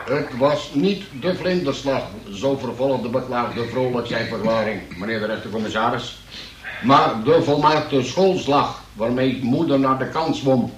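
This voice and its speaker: Slow, formal police sergeant played by a man. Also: medium pitch, natural voice. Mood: solemn, deliberate. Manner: formal, deliberate reading aloud. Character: plodding police sergeant reading out a confession. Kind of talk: radio play acting. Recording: echoey radio play with faint noise.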